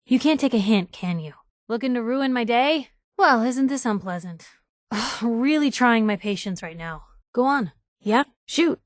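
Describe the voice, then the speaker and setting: A woman's voice: a little high, measured pace, no room sound. Feisty, wry young woman reporter, dry recording. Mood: wry, concerned. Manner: wry, brash, expressive, conversational. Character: feisty, sarcastic young woman reporter, tough but caring companion. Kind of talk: video game companion voice lines.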